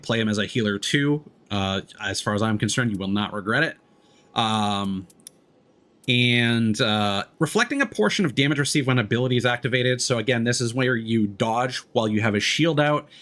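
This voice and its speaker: A man's voice: on the low side, fairly bright tone. A man giving casual gameplay commentary as a streamer, thinking aloud in a hesitant way as he explains. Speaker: video game streamer.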